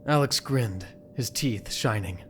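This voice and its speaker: A man's voice: mid-range voice, unhurried, a little hiss or hum. A man reading scripted, dramatic narration for an audio drama.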